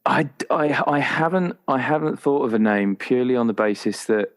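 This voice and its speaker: A man chatting casually and haltingly as a player working out a roleplaying character, often restarting his thoughts. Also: medium pitch. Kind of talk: casual, improvised character discussion.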